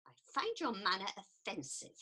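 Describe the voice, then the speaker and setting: A woman's voice: mid-range voice, huge pitch swings, fairly quick, bright, forceful tone, heavily acted. A woman playing an earnest, prim and easily offended counsellor in a radio comedy set in ancient Greece. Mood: indignant, offended. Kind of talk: radio play acting.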